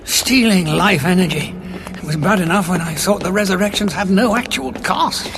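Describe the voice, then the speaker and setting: An actor in an audio drama playing a grave, moralising alien time-traveller, arguing emphatically. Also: male voice, high voice, normal speed, vocal fry, background noise. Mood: indignant. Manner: emphatic, argumentative. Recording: dramatic music underneath.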